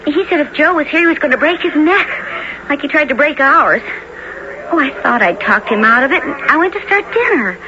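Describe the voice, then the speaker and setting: Worried young circus girl, high fretful voice, noisy muffled old radio play. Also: expressive, a little fast. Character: anxious young circus performer, loyal daughter and friend. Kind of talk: 1970s radio drama acting.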